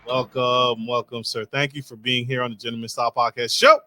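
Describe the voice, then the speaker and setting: A man's voice: mid-range voice, level tone, fairly quick, bright, forceful tone, soft background music. A man hosting a podcast, effusive and enthusiastic, giving big introductions and stumbling over names. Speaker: podcast host. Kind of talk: podcast interview.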